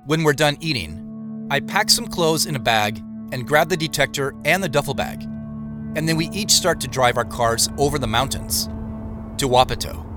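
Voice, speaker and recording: A man playing a narrator who recounts his own strange week in a measured, scripted first-person audio drama style. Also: medium pitch, expressive, lightly acted. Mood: reflective, measured. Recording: music behind the voice. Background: guitar music.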